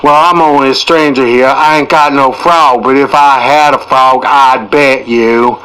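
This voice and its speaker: A man voicing a sly, skeptical stranger in an audiobook, with very deliberate, drawn-out rustic speech. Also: mid-range voice, fairly even intonation, a bit bright, smooth, pitched below the performer's own voice, lightly acted, poor audio. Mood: feigned sadness. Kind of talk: storybook character voice in audiobook.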